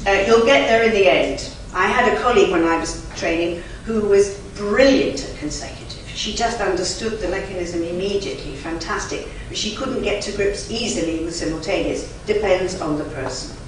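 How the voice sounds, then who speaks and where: A woman whose first language is German, a conference interpreter giving an emphatic, chatty lecture to a live audience with lively asides. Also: mid-range voice, moderate pace, hardly any pauses. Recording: very echoey hall, from far off, faint noise.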